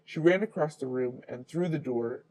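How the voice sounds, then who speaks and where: A man's voice: fairly high pitch, normal speed, darkish tone, poor audio. A man reading a children's fairy tale aloud as the storyteller.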